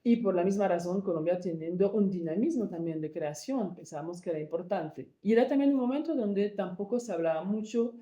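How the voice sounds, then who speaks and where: A woman from Congo, co-founder and director of an arts project, answering interview questions thoughtfully and pausing to search for words. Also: mid-range voice, mellow, echoey room. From Democratic Republic of the Congo.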